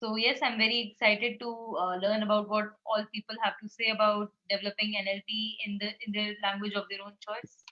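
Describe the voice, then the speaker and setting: A woman introducing herself as a webinar attendee, speaking quietly and measuredly with hesitant fillers. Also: medium pitch, level tone, fairly quick, few pauses. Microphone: video call. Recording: muffled lo-fi call audio.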